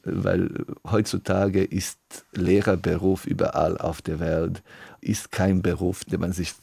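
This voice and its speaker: Deep gravelly man. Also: little pitch movement, measured pace, dark tone, no room sound. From Bosnia and Herzegovina. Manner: hesitant, frequent "äh" fillers and restarts. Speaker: association treasurer. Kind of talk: panel interview answers.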